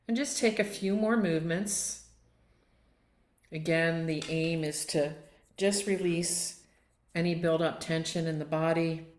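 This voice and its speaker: Low-pitched woman guiding meditation, roomy echo. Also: steady tone. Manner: gentle, unhurried, inviting. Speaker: yoga nidra guide. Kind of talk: guided meditation and relaxation instruction. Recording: roomy, echoing sound.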